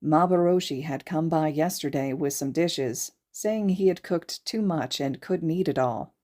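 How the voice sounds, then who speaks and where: A woman's voice: low voice, a little slow, dark tone. A woman reading a story aloud as a podfic narrator, in scripted narration. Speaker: podfic reader and host.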